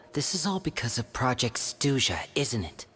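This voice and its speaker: A man's voice: mid-range voice, very animated, a little slow, fairly bright tone, a little hiss or hum. Composed, serious young man. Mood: skeptical, troubled. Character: composed, serious young male member of a secretive orphan house. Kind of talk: video game voice acting.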